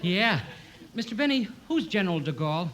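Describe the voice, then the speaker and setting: A naive, boyish young man in an old radio comedy. He is high-pitched and quick, with an innocent, literal-minded delivery on a noisy vintage recording. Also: very animated. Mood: innocent, chirpy. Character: silly, naive boyish young male singer.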